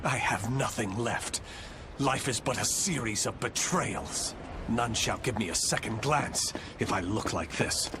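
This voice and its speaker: A man's voice: lowish voice, unhurried, heavy background noise, natural voice. An actor playing a proud, desperate male noble swordsman in a game cutscene, speaking in a haughty, aristocratic way. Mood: bitter.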